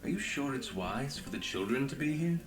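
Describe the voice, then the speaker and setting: An actor playing a guarded, world-weary leader in a video game, speaking in a restrained, dry way. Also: male voice, mid-range voice, expressive, normal speed, roomy sound, natural voice. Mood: guarded, somber. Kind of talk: dramatic video game voice acting.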